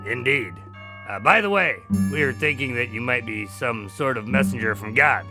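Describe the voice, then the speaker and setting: A man's voice: mid-range voice, expressive, normal speed, pushed and bright, faint music behind. A man playing an irreverent, questioning time-traveling adventurer in a radio drama, talking in a casual, wry way.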